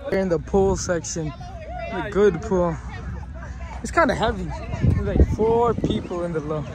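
A man's voice: sits high, a little slow, over a noisy crowd. A young man teasing his friends in playful banter outdoors. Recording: loud outdoor noise.

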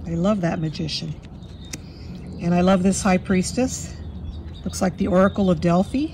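A woman with a slightly hoarse voice from a cold, chatting fondly through a walkthrough of a card deck at her table. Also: fairly low pitch, moderate pace, noisy background. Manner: fond, chatty, admiring. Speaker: tarot deck reviewer. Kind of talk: casual walkthrough. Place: indoors at a table.

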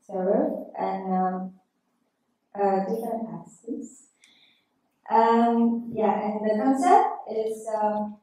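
A woman's voice: mid-range voice, warm tone. A woman whose first language is Vietnamese presents her typeface at a conference lectern, talking hesitantly with many ums and uhs. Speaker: type design student presenting her typeface. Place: large hall, at a lectern. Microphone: lectern mic, camera far off. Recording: echoey hall from far off.

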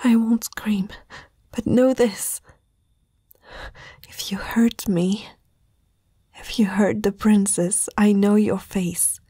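A haughty young princess, acted by a woman in a fantasy audio roleplay. She speaks in a very breathy whisper, slowly and haltingly, proud but afraid. The sound is close and dry, with a soft, dark tone. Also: medium pitch, fairly even intonation, no echo. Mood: defiant, fearful. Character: proud, frightened eighteen-year-old princess confronting a night intruder. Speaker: ASMR roleplay performer. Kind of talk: whispered ASMR roleplay acting. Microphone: close microphone, dry.

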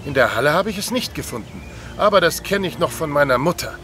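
An actor playing a doting, eager, jokey suitor in an audio drama, with a gushing, playful manner. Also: male voice, on the high side, very animated, quick pace, background noise. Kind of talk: German audio drama acting.

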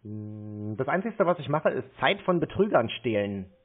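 A man's voice: medium pitch, big pitch swings, moderate pace, soft background music. A man baiting a phone scammer, sarcastic and mocking. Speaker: scam baiter. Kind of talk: prank phone call. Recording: tinny phone line.

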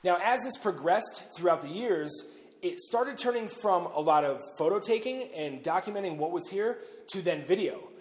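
A man's voice: high-pitched, animated, moderate pace, a little hiss or hum. A man in his thirties hosting a casual talk to camera inside a large underground silo, speaking loosely with frequent fillers. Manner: conversational, loose, with frequent "uh" and "pretty much". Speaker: bunker owner and host. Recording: long reverb of an underground silo.

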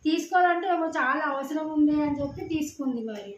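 A woman's voice: high-pitched, fast talker. A woman with a Telangana Telugu accent talking informally as a caller in a phone conversation, insistent, repetitive and argumentative. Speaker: prank caller. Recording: dull, echoey room with background noise.

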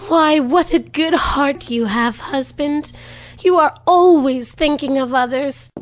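A doting country wife in an audio drama. Her voice is high, and she gushes with admiration and flattery. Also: takes it slowly. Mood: admiring. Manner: gushing, flattering. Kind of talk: theatrical audio drama acting.

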